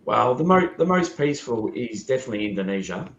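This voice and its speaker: A man from Australia, a podcast guest with a sore throat and a croaky voice, chatting in an easygoing way with lots of ums. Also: medium pitch, very animated, quick pace, roomy sound, highs cut off. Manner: easygoing, hesitant with many ums. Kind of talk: casual podcast chat.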